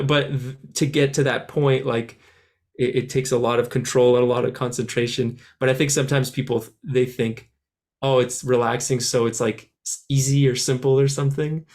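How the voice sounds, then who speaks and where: A man born in France and raised in Canada talks as an interview guest. He rambles loosely, restarting his sentences and scattering filler words as he goes. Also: medium pitch. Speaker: musician guest. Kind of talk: casual interview answers.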